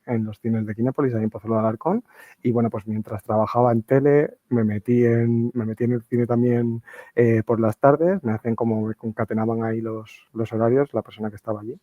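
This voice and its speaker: A man in his thirties from Extremadura, speaking as a guest in a casual interview, relaxed and full of fillers and "¿no?" tag questions. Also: medium pitch, dry recording, lo-fi sound. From Extremadura, Spain. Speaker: UX researcher, podcast guest.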